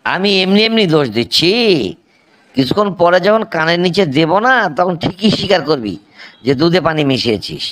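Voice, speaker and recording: A man's voice: a little high, animated, a little fast, fairly bright tone. A man doing a threatening, scolding cartoon boss. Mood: angry. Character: angry boss of a milkman, threatening. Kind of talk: cartoon comedy dubbing.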